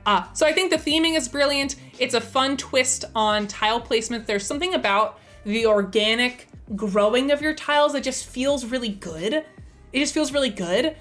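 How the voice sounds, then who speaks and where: A woman's voice: a little high, moderate pace, a bit bright, soft background music. A young woman hosting a casual live stream, chatty and enthusiastic, her voice a little strained from being sick. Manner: chatty, enthusiastic, thinks aloud with fillers. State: slightly strained, losing her voice. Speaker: streamer and host.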